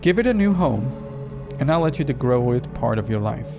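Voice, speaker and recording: A man's voice: medium pitch, sing-song, normal speed, over music. A man voicing a sinister stranger offering a cursed painting in a horror visual novel. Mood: calm, tempting.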